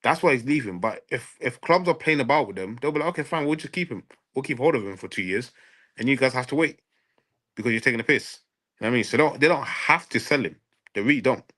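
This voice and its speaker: A man's voice: medium pitch, animated, fast, fairly bright tone. A man in a casual football transfer debate, speaking emphatically and repeating phrases for stress. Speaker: football fan show panelist.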